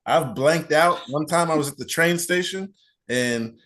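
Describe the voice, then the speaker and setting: A man's voice: on the high side, unhurried, fairly bright tone. A man in casual podcast chat, telling his own stories in a laid-back way and giving short agreeing replies.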